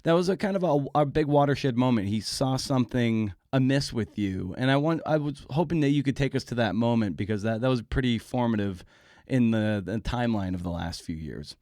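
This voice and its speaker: A man's voice: medium pitch, expressive, a little fast, a little mellow, dry recording, clean studio sound. A middle-aged man hosting a podcast in a conversational style, with a wry, self-deprecating manner. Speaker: podcast host and interviewer. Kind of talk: conversational podcast intro and interview.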